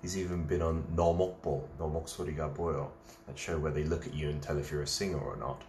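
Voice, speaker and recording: Deep-voiced man chatting on a live stream. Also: little pitch movement, fairly quick, a little mellow, roomy sound, slight background noise. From England. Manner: chatty, reads out and answers viewer comments. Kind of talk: casual live-stream chat while eating. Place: home at night, live stream.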